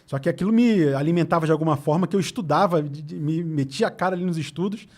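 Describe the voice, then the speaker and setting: Fast-talking Carioca man. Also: a little high. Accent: Carioca Portuguese. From Rio de Janeiro, Brazil. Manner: fast, animated storytelling with easy laughs. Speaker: podcast guest, teacher. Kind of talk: casual podcast conversation. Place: podcast studio.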